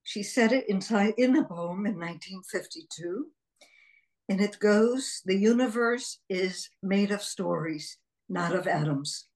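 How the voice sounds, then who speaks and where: A woman in her sixties, born in Israel, speaking as a guest psychologist and telling her story in a reflective interview, measured and full of pauses. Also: mid-range voice, a little mellow, rough recording. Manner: slow, measured, with frequent pauses and fillers.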